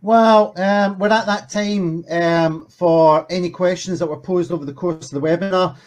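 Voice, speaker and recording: A man hosts a question session on a video call, his voice sitting fairly high for a man. He reads each audience question slowly, with frequent ums and restarts. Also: steady tone. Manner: hesitant, filler-heavy, reads questions slowly. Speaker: webinar host reading audience questions. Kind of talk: hosting a webinar Q&A.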